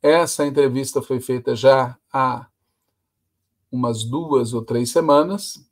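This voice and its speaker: A man's voice: medium pitch, slow. Older man giving firm political commentary. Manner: firm, opinionated delivery. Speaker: host and commentator. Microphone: video call webcam.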